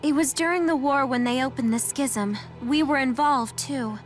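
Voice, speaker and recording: A woman's voice: sits high, normal speed, soft background music, natural voice. A woman voicing an earnest, helpful young girl companion in a video game, explaining things plainly. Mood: regretful. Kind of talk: scripted game dialogue.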